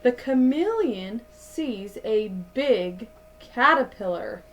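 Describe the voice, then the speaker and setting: A woman in her thirties reading a picture book aloud to children like a teacher, with deliberate, dramatic emphasis. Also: a little high, lively intonation, fairly bright tone, rough recording. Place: small indoor room at home.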